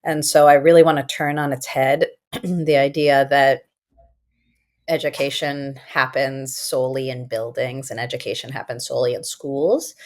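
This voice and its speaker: Woman, native Farsi speaker, very low pitch, thoughtful, emphatic, podcast guest. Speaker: guest educator and author. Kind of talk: conversational interview.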